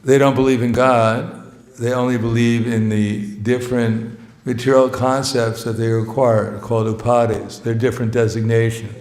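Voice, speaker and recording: A man's voice: medium pitch, little pitch movement, moderate pace, few pauses, a little mellow. A man giving an informal, joking sermon as a visiting monk, often chuckling between phrases and mocking himself. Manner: plainspoken, self-mocking. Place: temple hall. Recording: echoey hall.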